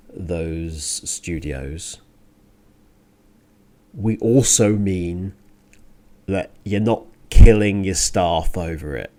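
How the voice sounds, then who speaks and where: A man hosting a radio show, talking in an earnest, emphatic monologue with deliberate pauses and repeated phrases. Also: low voice, darkish tone. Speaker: radio show and podcast host. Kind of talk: spoken opinion monologue on a music radio show.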